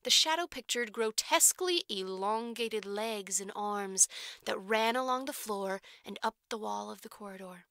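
Bright-voiced woman narrating an audiobook. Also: medium pitch, normal speed, dry recording, studio quality. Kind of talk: scripted literary narration.

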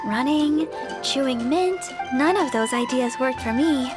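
Anime game role: young church healer, very high, sweet and earnest, prominent music bed. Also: female voice, animated, unhurried. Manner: polite, apologetic, gentle. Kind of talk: anime-style video game character acting. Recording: loud game music behind.

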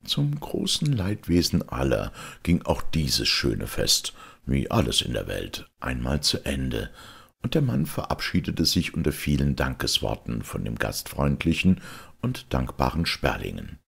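A man's voice: a bass voice, huge pitch swings, a little slow, creaky, a bit bright, slightly rough. A man reading a fairy tale aloud as a storyteller, in scripted narration.

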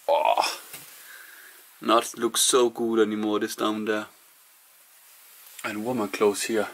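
A man narrating quietly to camera as he explores an abandoned house, musing in hushed. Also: on the low side, level tone, slow, vocal fry. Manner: hushed, musing, non-native English phrasing. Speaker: urban explorer vlogger. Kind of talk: casual narration while exploring.

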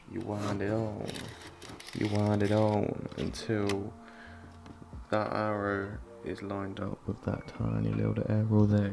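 A young man with a croaky, just-woken voice, rambling casually through a home vlog with lots of restarts. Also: fairly low pitch, background noise. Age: young adult. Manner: rambling, self-deprecating, restarts a lot. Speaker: film photography vlogger. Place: small home office room. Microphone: desk mic in front.

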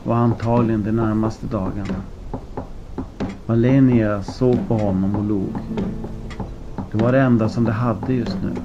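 Casting: male audiobook narrator, lowish voice, deliberate phrasing, dramatic pauses, lo-fi recording with clear noise. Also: fairly even intonation. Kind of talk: scripted story narration. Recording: muffled lo-fi with clear background noise.

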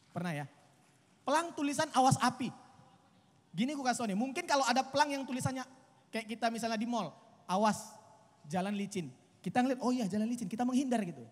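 Young guy from doing stand-up, lively and chatty, handheld mic, voice ringing out with reverb. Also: very high-pitched, fast talker, long pauses. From Medan, Indonesia. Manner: chatty, animated storytelling with acted quotes. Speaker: stand-up comedian. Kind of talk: stand-up comedy set. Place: outdoor stage at a night event.

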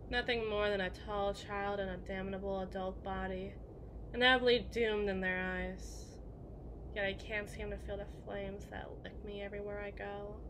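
A woman's voice: medium pitch, noisy background, natural voice. A woman playing a melancholy, guilt-ridden evil queen in an ASMR roleplay monologue, speaking in formal, introspective phrases to someone she loves. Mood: wistful, brooding.

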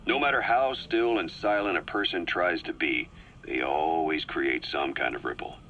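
Game role: a watchful, seasoned military intelligence man. Deep, forceful, measured delivery over faint noise. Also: highs cut off, heavily acted. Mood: grave, analytical. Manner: measured, deliberate, dramatic pauses. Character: shrewd, seasoned male military intelligence officer, cool and watchful. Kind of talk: scripted game audio briefing.

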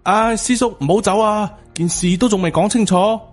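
A man's voice: sits high, normal speed, faint music behind, voice pushed up. A man voicing an earnest, respectful young Taoist disciple who pleads and reasons in an audiobook. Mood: pleading.

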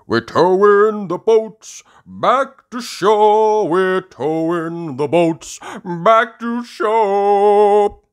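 A man voicing a jolly, boisterous old grandfather rabbit in a children's cartoon storybook, with hearty drawn-out exclamations. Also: huge pitch swings, sharp tone, heavily acted. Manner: hearty, booming, drawn-out exclamations, breaks into song.

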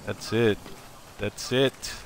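A man's voice: on the low side, fairly even intonation, extremely slow, creaky, slight background noise. A man commenting on a live shooter game, chatty and full of wiseguy catchphrases, greeting teammates and viewers by name. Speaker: game streamer.

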